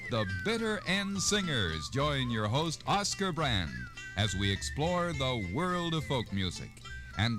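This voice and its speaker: A man's voice: medium pitch, fairly bright tone. A man reading a scripted announcement in 1960s radio style, on an old broadcast recording. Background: music.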